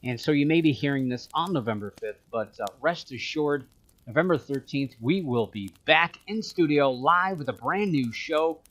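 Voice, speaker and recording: A man hosting a paranormal news radio show, delivering his sign-off deliberately, phrase by phrase. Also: a little high, expressive, a bit bright, dry recording.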